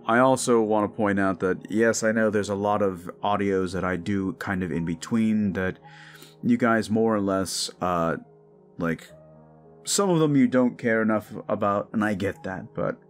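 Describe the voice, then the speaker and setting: A man's voice: fairly low pitch, a little slow, faint music behind. A man giving an informal, rambling outro to his listeners as the creator of an audio drama. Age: adult with young child. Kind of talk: casual outro chat to listeners.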